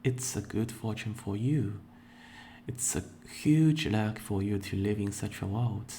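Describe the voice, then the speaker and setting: A young man introducing himself as a virtual streamer and answering questions in a slow, deliberate way, with playful bursts of excitement. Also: fairly low pitch, slight background noise. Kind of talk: casual self-introduction and Q&A.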